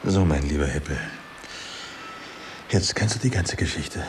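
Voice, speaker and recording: An actor playing a mocking, dreamy, hard-drinking artist husband in a theatrical, musing German radio drama style. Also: male voice, very deep voice, a little slow, background noise. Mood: wry, enamoured.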